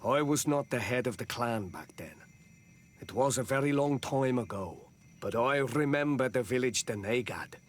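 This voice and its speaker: An actor playing a measured male clan chief in a video game, solemn and deliberate. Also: medium pitch, expressive, slight background noise, natural voice. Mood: sombre. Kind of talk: video game cutscene acting.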